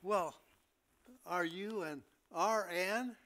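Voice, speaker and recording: Man playing a slow, hesitant hospital patient. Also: mid-range voice, fairly bright tone. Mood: bewildered. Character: timid, bewildered male hospital patient, Fergie. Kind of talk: staged play reading.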